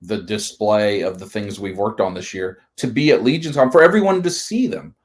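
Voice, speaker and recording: A man in his forties hosting a live stream, asking rambling, enthusiastic questions in casual chat. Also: fairly low pitch. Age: 40s.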